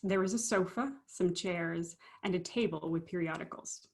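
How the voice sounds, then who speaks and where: Lower-pitched woman reading stage directions. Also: moderate pace, creaky, darkish tone. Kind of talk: scripted narration.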